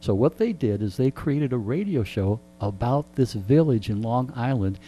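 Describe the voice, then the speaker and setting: A man in his sixties with a mellow, relaxed tone, speaking over an audible hum. He tells stories conversationally, as in a radio interview, with chatty asides to the listeners. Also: mid-range voice, normal speed, low-quality recording. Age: 60s. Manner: frequent throat clearing. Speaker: radio show host being interviewed. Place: radio studio. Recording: clear background hum and noise.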